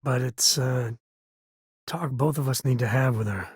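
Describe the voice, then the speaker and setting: An actor playing a loyal, wry space ranger and protective father in a video game, with a husky, raspy voice and folksy, conversational delivery. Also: medium pitch, unhurried, a little mellow, no room sound, studio quality. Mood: grave, earnest. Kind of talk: scripted game dialogue, conversational acting.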